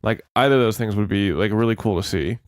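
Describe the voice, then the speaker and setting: A man's voice: lowish voice, fast talker, smooth, no room sound, studio quality. A young man co-hosting a casual gaming podcast, sounding congested and rambling with fillers and swearing. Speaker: podcast co-host.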